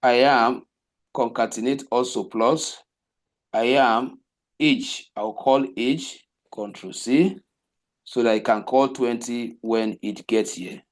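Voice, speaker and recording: Man, coding teacher, slow and repetitive walkthrough, mid-range voice. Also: medium pitch, steady tone. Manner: slow, repetitive step-by-step explaining, asks rhetorical questions. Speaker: web development teacher. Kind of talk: live coding class walkthrough.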